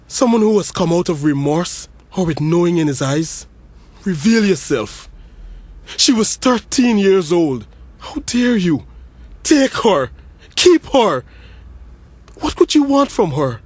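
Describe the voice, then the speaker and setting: A man's voice: sits high, no echo. A young man, playing a brother who delivers an intense, accusing eulogy at a funeral in an audio drama.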